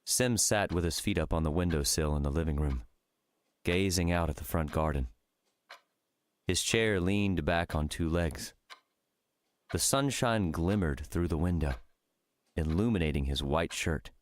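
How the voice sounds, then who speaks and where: Casting: male narrator, low-pitched, measured storyteller for a fiction audiobook.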